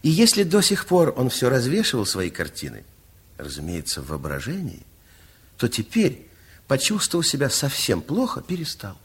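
A man's voice: mid-range voice, normal speed, husky, exaggerated voice. A man narrating a Soviet children's radio play in a theatrical, playful, confiding storytelling style. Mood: expressive storytelling. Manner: theatrical, expressive. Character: expressive fairy-tale narrator, playful and confiding.

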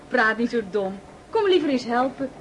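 An actress playing a sensible young woman who scolds her companion in a radio play, brisk and exasperated. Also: fairly high pitch, sing-song, a little hiss or hum, poor audio. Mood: chiding. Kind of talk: radio drama acting.

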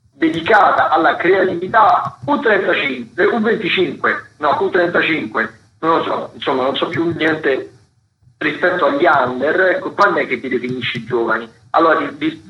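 A man's voice: mid-range voice, lo-fi sound. A man giving a casual, chatty rundown of theatre events as a radio critic, often wandering into playful asides.

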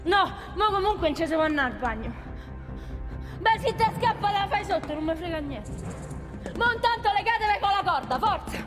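High-pitched fiery Roman woman. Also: lively intonation, moderate pace. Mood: bossy, frantic. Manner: blunt, defiant, colloquial. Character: fiery, stubborn working-class woman, desperate hostage taker. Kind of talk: theatrical monologue. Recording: hall reverb, faint music behind.

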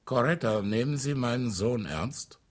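A man playing a blunt, teasing older father with a husky voice and a dry, probing manner in a German radio drama. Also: medium pitch, expressive, unhurried.

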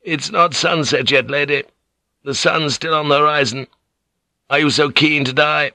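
A man's voice: a little high, steady tone, normal speed, bright, forceful tone, dry recording, pitched above the performer's own voice. A man voicing an arrogant, ruthless Japanese warlord general in an audiobook, with a cold, scornful manner. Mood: scornful, commanding. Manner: cold, scornful, rhetorical questioning. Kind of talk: dramatic audiobook character voice.

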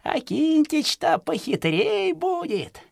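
An actor playing a stooped, folksy old peasant hunter in a children's audio play, grumbling yet kindly and muttering to himself. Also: male voice, extremely high pitch, huge pitch swings, takes it slowly, creaky, dry recording, studio quality, full character voice.

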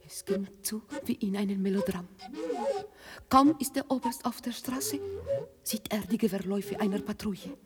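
A woman's voice: mid-range voice, normal speed, natural voice. A woman narrating a literary radio play in scripted storytelling prose. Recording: long hall reverb. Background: music.

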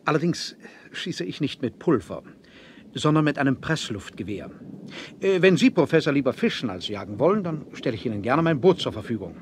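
An actor playing a proud, mysterious submarine captain in an adventure radio play, speaking formally and politely with self-assurance. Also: male voice, medium pitch, sing-song, fairly quick, close to an everyday voice. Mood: courteous, inviting. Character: courteous, proud, mysterious male submarine captain, genius and host. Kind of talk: 1970s German adventure radio play acting. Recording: clearly noisy vinyl record transfer.

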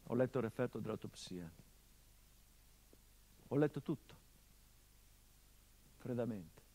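A bitter, haunted son mourning a murdered father in a stage monologue. His voice sits at a medium pitch and carries a sharp irony. It swells into loud outbursts, then drops to low, hushed tones. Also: lively intonation, measured pace. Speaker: stage actor. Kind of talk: theatrical monologue.

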